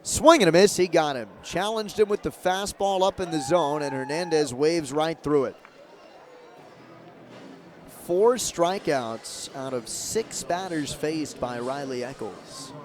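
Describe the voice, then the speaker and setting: A man doing live radio play-by-play of a baseball game as the announcer, in measured calls with emphasis on key moments. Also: on the high side. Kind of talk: live radio sports commentary. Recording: crowd and ambient stadium noise behind. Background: ballpark crowd murmur.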